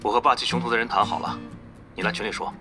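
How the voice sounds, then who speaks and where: A man's voice: fairly low pitch, fairly even intonation, very fast, music in the background, close to an everyday voice. An actor playing a composed, decisive male guild president in an audio drama. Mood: composed, resolute. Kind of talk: Chinese audio drama acting.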